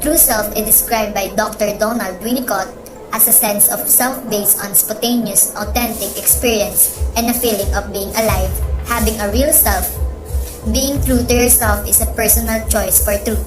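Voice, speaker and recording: A woman's voice: medium pitch, steady tone, moderate pace. A woman reading scripted narration aloud. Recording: echoey room with music.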